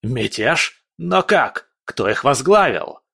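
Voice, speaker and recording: A man voicing a cowardly, hot-tempered prince who rants and shouts in fury. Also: mid-range voice, big pitch swings, slow pace, creaky, slightly rough, no echo, studio quality, heavily acted. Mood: furious. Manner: shouting, ranting, furious.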